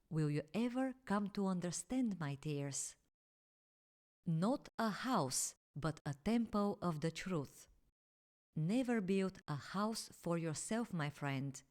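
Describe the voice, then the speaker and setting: A woman recites spiritual teachings in an unhurried, solemn address. Her voice sits somewhat low, and her phrasing is measured, sinking deeper whenever she turns to the listener as "my friend.". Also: a little mellow.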